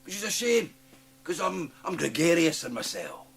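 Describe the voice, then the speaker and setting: A man's voice: a little high, big pitch swings, normal speed, vocal fry. An actor playing a sly, menacing, gleeful Scottish man in a radio drama, taunting and insinuating, breaking into hissing whispers. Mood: scornful, boastful. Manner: taunting, insinuating, swinging from cajoling to snarling.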